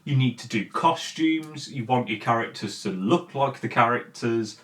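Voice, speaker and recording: A man's voice: medium pitch, normal speed. A man hosting an opinionated commentary show, talking conversationally and emphatically in an informal, mildly sweary way. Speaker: host of a film and games commentary show. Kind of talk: opinionated video essay talk, conversational.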